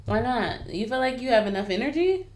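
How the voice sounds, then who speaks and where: A woman's voice: fairly high pitch, very animated, a little fast, a little mellow. A woman co-hosting a casual chat, playful and teasing, laughing often. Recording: echoey room.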